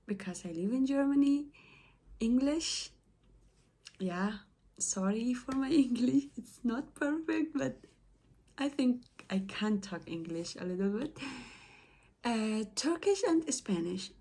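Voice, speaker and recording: A woman's voice: on the high side, lively intonation, dark tone. A young woman with a Persian accent, a native Persian speaker, talking casually and hesitantly to her followers in a study vlog. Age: young adult. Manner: hesitant, halting, many fillers and self-corrections. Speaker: study vlogger. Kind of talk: casual talk to camera.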